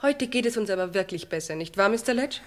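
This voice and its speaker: Brisk, cheery actress as a hospital nurse. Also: female voice, mid-range voice, animated, faint music behind, lightly acted. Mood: cheery, coaxing. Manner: bustling, coaxing. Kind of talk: vintage German radio crime play acting.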